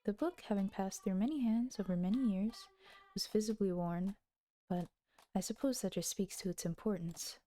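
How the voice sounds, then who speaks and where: Husky woman narrating a story. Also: medium pitch, normal speed, warm tone. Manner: giggly, chatty asides. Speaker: streamer reading a visual novel aloud. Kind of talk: scripted narration with casual asides.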